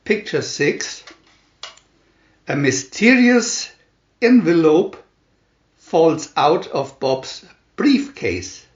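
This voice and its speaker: A man reading a scripted picture storybook aloud in short, deliberate phrases with pauses between them. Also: a little high, big pitch swings, roomy sound, highs cut off. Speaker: reader of picture-story booklets.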